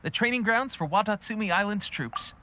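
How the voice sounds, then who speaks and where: A man's voice: sits high, expressive, brisk, noisy background. A man voicing an eager, friendly young resistance soldier in a video game, chatty and earnest. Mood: upbeat, helpful. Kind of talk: video game character acting.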